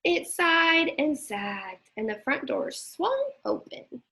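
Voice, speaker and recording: A woman narrating a children's picture book aloud in an expressive storytelling style. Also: high voice, slow pace. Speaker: teacher reading aloud.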